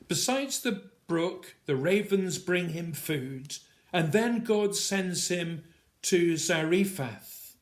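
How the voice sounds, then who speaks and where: A man with a slightly high-pitched voice recites a narrative scripture poem. He takes it very slowly, breaking it into short, weighted phrases with deliberate pauses between them. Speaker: poet reading own work. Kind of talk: dramatic poetry recitation of a Bible story.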